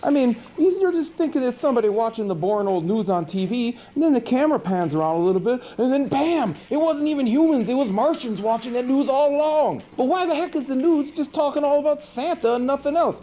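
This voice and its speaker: A man's voice: extremely high pitch, animated, fairly quick, few pauses, mellow, highs cut off. A man playing a goofy monster who hosts a horror movie show, rambling in slangy, exasperated comic commentary. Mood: riled, rambling. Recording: noisy 1980s VHS TV tape.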